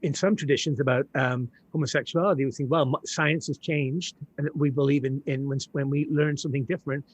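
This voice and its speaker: A man's voice: mid-range voice, fairly even intonation, fairly quick, few pauses, breathy edge. A man hosting an interview, talking thoughtfully and hesitantly and often restarting his sentences. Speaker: host. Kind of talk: casual interview conversation.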